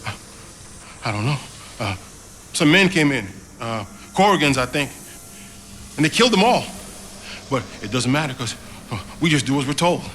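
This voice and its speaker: An actor playing a meek, frightened enslaved worker who stammers and pleads, in a video game cutscene. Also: male voice, mid-range voice, big pitch swings, normal speed, long pauses, fairly bright tone, a little hiss or hum. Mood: timid, resigned. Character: frightened, submissive, meek enslaved labourer, man.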